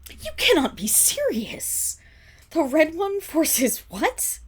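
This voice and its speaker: A woman's voice: high voice, very animated, slow, full character voice. A woman playing a proud, indignant noble wolf-girl servant in an ASMR roleplay, with haughty, formal diction that falters when she is flustered. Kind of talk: ASMR roleplay, dramatic voice acting.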